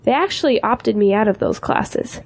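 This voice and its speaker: A woman's voice: medium pitch, animated, moderate pace, creaky. A woman playing an eager, idealistic young schoolteacher in an audio drama, with an earnest, polite way of talking. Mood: concerned, hesitant.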